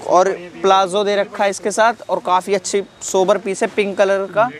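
A man's voice: high voice, little pitch movement, normal speed. A man, presenting products as a salesman in a matter-of-fact, repetitive way. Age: 20s. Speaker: clothing shop salesman. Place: clothing warehouse stockroom. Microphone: lavalier on T-shirt.

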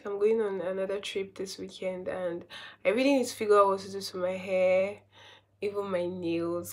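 A young woman, chatting casually at home as a lifestyle vlogger, relaxed and gushing with soft laughs. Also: medium pitch. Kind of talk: casual chat to camera.

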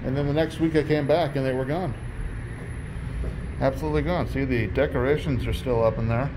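A man talks casually to his handheld phone camera while walking outdoors, rambling and thinking out loud. His voice sits at an ordinary mid pitch. Clear traffic and street noise runs behind him. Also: fairly even intonation, brisk. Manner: rambling, musing aloud with fillers. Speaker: vlogger. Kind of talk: casual walking vlog narration. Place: outdoors in a parking lot by a closed restaurant.

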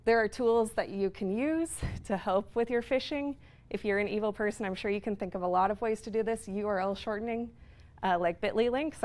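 A woman in her thirties giving a technical talk in a lecture room, explaining at length with frequent fillers. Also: medium pitch. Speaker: conference speaker. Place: lecture room with projector screen.

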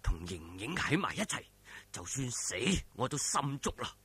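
男講古佬演繹低聲自語嘅年輕劍客. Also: male voice, sing-song, moderate pace, sharp tone, slightly rough, no room sound, full character voice. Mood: tender, resigned. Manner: low, muttered inner thoughts. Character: devoted young swordsman thinking to himself.

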